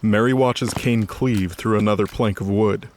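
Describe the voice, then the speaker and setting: A man's voice: fairly low pitch, steady tone, moderate pace, a little hiss or hum. A man narrating a scripted story in a conversational, wry way.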